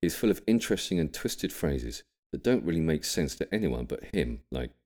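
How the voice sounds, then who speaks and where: A male audiobook narrator with an extremely deep voice. He reads scripted fiction as a storyteller, pitched right at the bottom of the range. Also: very animated, moderate pace, a little mellow.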